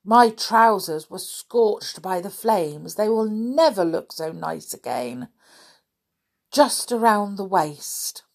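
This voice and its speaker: A woman's voice: mid-range voice, animated, slow pace, vocal fry, exaggerated voice. A woman voicing a wronged, grumbling boy toy in a children's storybook. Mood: gloomy. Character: gloomy, wronged boy toy, forgiving later.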